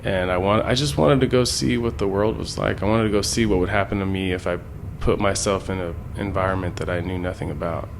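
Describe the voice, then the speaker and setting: Gravelly, low-voiced man. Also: fairly even intonation, fairly quick, hardly any pauses, a little hiss or hum. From San Francisco Bay Area, US. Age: 40s. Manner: loose, slangy, rambling. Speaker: interview guest, graffiti artist. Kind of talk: casual reflective interview talk.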